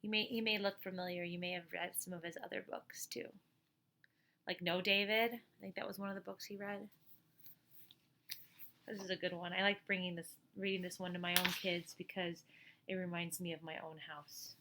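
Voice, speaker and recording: A middle-aged woman reading a picture book aloud like a teacher at storytime, expressive, with chatty asides and questions to young listeners. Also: fairly low pitch, moderate pace, a little hiss or hum.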